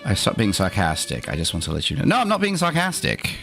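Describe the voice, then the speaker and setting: A man's voice: low-pitched, huge pitch swings, fast, gravelly, bright, forceful tone, soft background music. A man hosting an in-character livestream, talking theatrically in a put-on accent with dry, opinionated asides. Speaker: streamer host.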